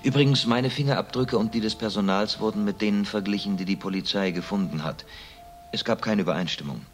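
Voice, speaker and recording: A deep-voiced, reserved gentleman acted by a man in a radio crime play, the well-to-do son of a murdered father. He speaks soberly and with measured, subdued matter-of-factness. Faint music sits behind him. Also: close to an everyday voice. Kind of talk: German radio crime drama dialogue.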